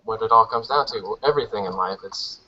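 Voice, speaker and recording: A man who sings and plays guitar talks in a relaxed way, with lots of "um, you know." His voice is fairly low and very bright and sharp. The audio is dull and lo-fi. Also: fairly quick, a little hiss or hum. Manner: relaxed, filler-heavy. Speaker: singer and musician. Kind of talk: casual discussion.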